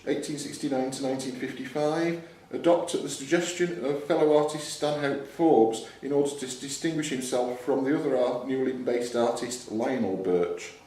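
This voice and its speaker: A man's voice: on the high side, a little mellow. Echoey, distant male quizmaster. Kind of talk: live pub quiz question reading. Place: club function room, indoors. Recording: echoey noisy room, distant mic.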